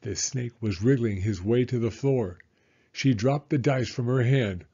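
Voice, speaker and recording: A man reading a children's storybook as an expressive, dramatic narrator. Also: mid-range voice, a little slow, husky, muffled recording.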